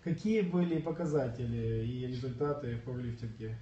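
A man's voice: medium pitch, moderate pace, dark tone, slight background noise. A bodybuilding coach, slightly ill and coughing now and then, telling his story in casual livestream chat. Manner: earnest, storytelling, clears throat and coughs. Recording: echoey room, far from mic, dull.

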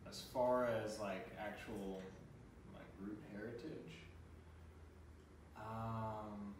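A relaxed young man talks as an interview guest, with a slightly low voice and an easygoing, rambling way of speaking. He is picked up from across a bare living room, so his voice sounds distant and very echoey, with faint ambient noise behind it. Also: extremely slow. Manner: laid-back, loose with many "like" and "word". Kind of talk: casual conversation. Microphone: far camera.

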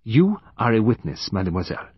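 A man voicing a meticulous, sly older male detective in an audiobook, with formal, deliberate foreign phrasing. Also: fairly low pitch, big pitch swings, slightly rough, no echo, dull audio. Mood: theatrical, assured. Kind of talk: audiobook character voice.

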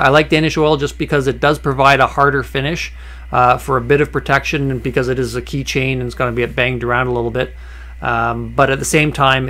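A man explaining a woodworking project in a relaxed, conversational voice-over, with frequent "uh" fillers and small restarts. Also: mid-range voice. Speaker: woodworking channel host. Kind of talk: voice-over narration of a workshop project.

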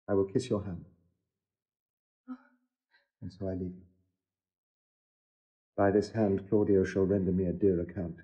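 An actor playing an earnest gentleman lover in a classical Shakespearean stage style. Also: low voice, highs cut off. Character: Benedick, earnest gentleman lover turning resolute.

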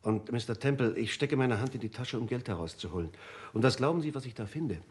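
Schauspieler als umständlicher, wichtigtuerischer Erzähler im Krimihörspiel. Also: male voice, mid-range voice, fast talker, close to an everyday voice. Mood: earnest, mysterious. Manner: circumstantial, dramatic storytelling. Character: fussy, eager male hotel guest telling suspicions. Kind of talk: German radio crime drama acting.

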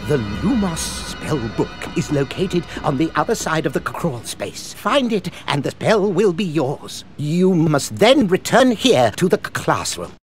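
Nervous english wizard teacher, stutters badly, slow and highish, game cutscene. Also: male voice, big pitch swings, soft background music. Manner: heavy stutter, jittery, halting.

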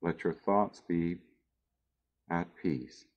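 A man with a very deep voice leads a guided meditation. He speaks very slowly and soothingly, leaving long pauses between short phrases. The recording is dull and somewhat lo-fi. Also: a little mellow.

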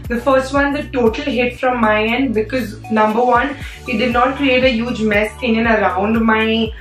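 Young woman, hoarse, chatty vlogger, fairly high voice, echoey room a little off-mic. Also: steady tone, moderate pace. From India. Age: young adult. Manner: chatty, lots of "like" fillers. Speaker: beauty vlogger. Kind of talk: casual chatty vlog. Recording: echoey room, a bit far from mic, faint music.

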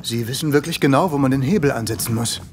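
An actor playing a suave, courteous and mysterious male visitor in a comedic sci-fi audio drama, flattering and presumptuous. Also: mid-range voice, fast talker, slight background noise, lightly acted. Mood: probing. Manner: smooth-talking, courteous, presumptuous.

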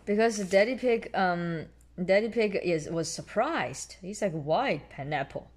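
Slow, lively woman reading a story. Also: medium pitch. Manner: explains simply and patiently to a child. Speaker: storyteller mother reading aloud to a child. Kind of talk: read-aloud children's bedtime story.

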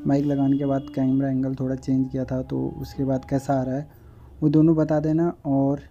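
A man's voice: medium pitch, dark tone, highs cut off. A young man chatting casually to camera as a motovlogger, explaining each step to his viewers, recorded outdoors on a phone and then on a helmet-mounted camera. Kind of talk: casual vlog talk to camera. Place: outdoors by a city roadside, later riding a motorbike. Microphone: phone camera, then helmet-mounted action camera. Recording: noisy street recording with traffic and wind. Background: traffic and vehicle noise.

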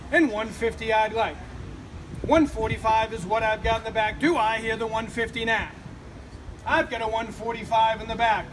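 A middle-aged male auctioneer rattles off bids in a quick, rhythmic chant with a high voice. He is recorded from a distance outdoors on a street, with clear traffic noise behind him. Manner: rhythmic, repetitive bid chant. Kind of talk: rapid auction calling. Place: outdoors on a street beside a building. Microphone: far camera.

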